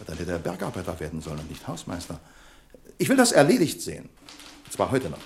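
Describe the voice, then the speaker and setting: An actor playing a pedantic, sarcastic office boss in a German radio drama, with pompous, exaggeratedly formal politeness. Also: male voice, sits low, huge pitch swings, fast talker, gravelly, slight background noise, full character voice. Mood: bossy, scolding. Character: pedantic, sarcastic male office boss, nagging about punctuality.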